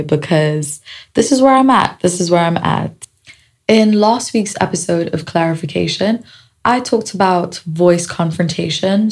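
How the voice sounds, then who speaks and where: Raspy-voiced woman hosting a podcast. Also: fairly low pitch, a little mellow. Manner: chatty and reflective, with small jokes and laughs. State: raspy, dry voice after a week of celebrating. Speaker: podcast host. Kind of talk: casual solo podcast talk. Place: seated indoors at a microphone.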